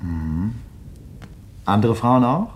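An actor playing an inquisitive, persistent crime writer in a radio drama, brisk and questioning. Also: male voice, fairly low pitch, animated, slight background noise. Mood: dry, probing. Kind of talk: German radio drama acting, conversational.

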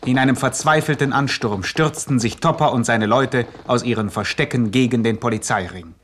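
A man's voice: mid-range voice, moderate pace, a little hiss or hum, close to an everyday voice. A man narrating a satirical gangster radio play with scripted, mock-grave suspense.

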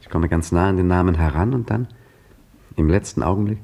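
A man's voice: sits low, a little fast, vocal fry, dark tone, a little hiss or hum. An actor narrating as and playing an earnest man hunting a secret name in a 1950s radio play, reflective in narration and flustered in dialogue. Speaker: narrator and main character. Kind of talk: 1950s radio drama acting.

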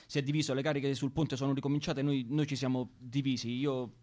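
Hot-headed young male militant with played by an actor, vehement, rapid delivery, radio drama. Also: mid-range voice, level tone, a little mellow, lightly acted. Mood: faltering. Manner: combative, vehement, foul-mouthed. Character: angry young male militant of 1977 protest movement. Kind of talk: naturalistic radio drama acting.

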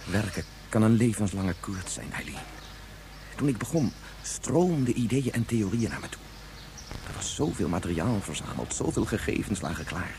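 Brooding low-voiced scientist, noisy recording. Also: male voice, very animated, creaky, a little mellow. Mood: brooding, regretful. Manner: halting, repetitive, ruminative. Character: anguished 177-year-old scientist, brooding, regretful father. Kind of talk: Dutch science fiction radio drama acting.

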